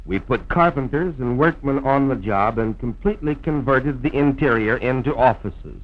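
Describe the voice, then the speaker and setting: An American man narrating a scripted promotional film in a formal, measured old-time radio announcer's style, on a vintage soundtrack. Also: mid-range voice, dull audio. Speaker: radio program host and narrator.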